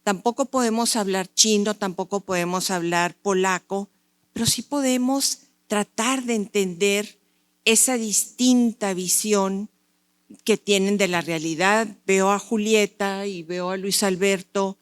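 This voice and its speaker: A woman moderating a literary panel and presenting her publishing house's mission. She speaks in a measured, reflective way with occasional fillers. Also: medium pitch, smooth. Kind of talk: panel discussion.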